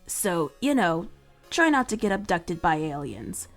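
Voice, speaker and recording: A woman's voice: on the low side, big pitch swings, a little slow, soft background music. A woman voicing a playful, teasing young male superhero.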